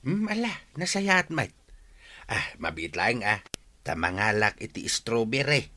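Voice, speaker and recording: A man's voice: on the low side, sing-song, a little slow, bright, forceful tone, exaggerated voice. An actor in a radio drama playing a kindly older uncle who gives firm, fatherly advice. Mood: concerned, advising. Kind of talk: Ilocano radio drama acting.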